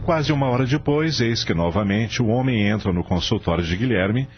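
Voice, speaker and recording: A man narrating a radio drama from a script, telling the story in a dramatic, expressive way. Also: on the low side, normal speed, noisy background. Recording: dull lo-fi audio, music behind.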